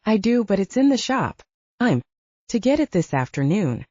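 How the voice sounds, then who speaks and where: A woman voicing a gruff, possessive, lovesick male werewolf in an audiobook romance. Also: mid-range voice, big pitch swings, moderate pace, warm tone, no room sound, highs cut off. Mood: tender, guarded.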